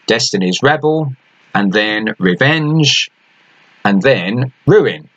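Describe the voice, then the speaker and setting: A man's voice: lowish voice, pushed and bright, husky, rough recording. A man, an author earnestly introducing his own novel and reading from it.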